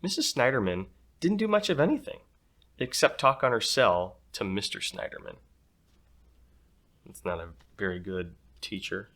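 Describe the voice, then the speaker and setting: Guy reading a kids book out loud, lowish voice, super expressive, pitch all over. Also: moderate pace. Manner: expressive, playful asides. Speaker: storybook reader. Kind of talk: animated children's story reading.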